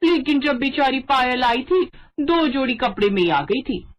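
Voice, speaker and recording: A woman's voice: sits high, lively intonation, moderate pace. A woman narrator reading a scripted family story on dull audio.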